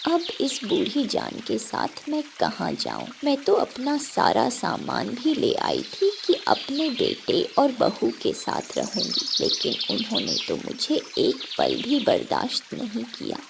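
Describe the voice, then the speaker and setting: An old mother crow speaks slowly and plaintively played by a woman. The voice is high and creaky, gentle in temper, in a cartoon story with clear bird noise behind. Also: huge pitch swings, full character voice. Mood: pleading sorrow. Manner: plaintive, slow. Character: sad, gentle elderly mother crow, humble and wronged. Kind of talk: Hindi animated moral story dialogue. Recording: clear noise with chirping birds.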